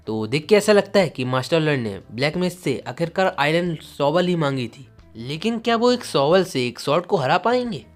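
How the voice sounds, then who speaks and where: An earnest, loyal retainer voiced by a male narrator speaks respectfully and with animation. The voice is a bit high, with faint music under the manga story. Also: very animated, moderate pace. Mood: doubtful.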